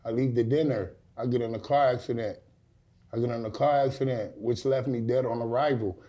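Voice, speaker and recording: A man's voice: medium pitch, steady tone, fairly quick, warm tone, lo-fi sound. Blunt man in his forties telling his story. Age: 40s. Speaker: guest. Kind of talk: casual talk show interview. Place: studio set.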